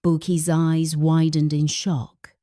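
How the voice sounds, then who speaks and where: A woman with a Nigerian accent narrating a scripted folktale as a storyteller, measured and suspenseful. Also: sits low, little pitch movement, dark tone, dry recording, studio quality.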